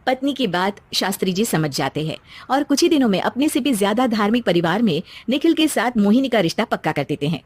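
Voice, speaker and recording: A woman's voice: mid-range voice, big pitch swings, quick pace, hardly any pauses. A woman narrating a scripted story as its storyteller.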